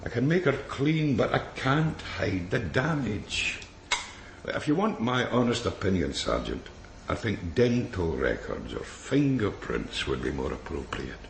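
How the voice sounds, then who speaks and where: An actor playing a blunt, world-weary police pathologist in a radio crime drama. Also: male voice, fairly low pitch, very animated, gravelly, heavily acted. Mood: reluctant, frank. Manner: blunt, dry, hesitant pauses. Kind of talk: naturalistic radio drama acting. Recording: roomy echo, faint background noise.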